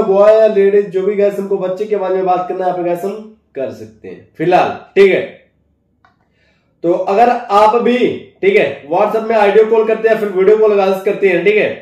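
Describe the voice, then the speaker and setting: A man's voice: high-pitched, darkish tone. A man presents a casual phone tutorial to camera, often repeating verbal tags to check the listener follows. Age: 20s. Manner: repeats filler address words and check-in tags constantly. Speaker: tech tutorial host. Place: small indoor office. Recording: echoey room, distant microphone.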